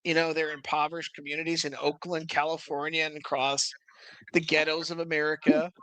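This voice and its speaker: A man's voice: a little high, level tone, a little fast, bright, forceful tone, no room sound, poor audio. A man in a casual debate, talking insistently, repeating his phrases and cutting in.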